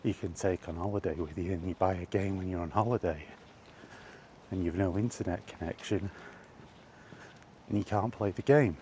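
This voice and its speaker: A man giving a casual, halting opinion monologue to a vlog audience, rambling along in short phrases while out walking. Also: sits low, mellow, a little hiss or hum. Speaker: video blogger. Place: outdoors, walking.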